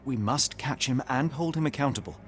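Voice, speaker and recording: An actor playing a composed, analytical British detective in a video game, speaking in a measured, formal way. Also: male voice, medium pitch, faint music behind, lightly acted. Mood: calm, coaxing. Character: composed, analytical young gentleman detective, coolly persuasive.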